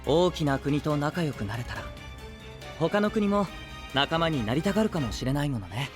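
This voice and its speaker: Young idealist knight, high-ish male voice, soft-spoken, measured, earnest, anime audio drama. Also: very animated, over music. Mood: earnest, resolved.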